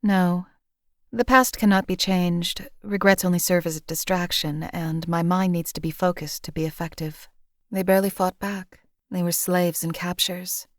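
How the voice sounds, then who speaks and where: A woman voicing a young female assassin in a game, speaking in a flat, clipped, unemotional way. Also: on the low side, no echo, clean studio sound, lightly acted. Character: cold detached female assassin, young adult. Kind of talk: game companion voice lines.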